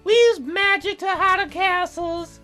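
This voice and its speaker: A man's voice: extremely high pitch, measured pace, bright, forceful tone, soft background music, pitched above the performer's own voice, exaggerated voice. A man voicing a chirpy, needy young girl cloud fairy in a sing-song, pleading manner for a story game. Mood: playful.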